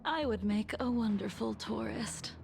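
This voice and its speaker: An actress voicing a calm, weary and wistful older woman in a game, speaking slowly and teasing gently. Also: on the high side, gravelly, over music. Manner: slow, measured, gently teasing. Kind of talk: game voice acting. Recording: game score playing underneath.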